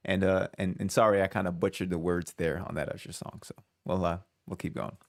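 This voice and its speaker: A man's voice: sits low, flat delivery, fairly quick, vocal fry. A man hosting a reaction video, casually thinking aloud, hedging and restarting his sentences. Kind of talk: casual commentary.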